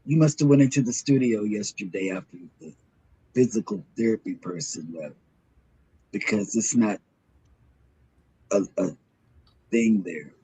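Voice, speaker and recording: A man hosting a morning show in casual, rambling chat, with a throaty, hoarse voice from a sore throat. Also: on the low side, a little slow, pauses often, warm tone, poor audio.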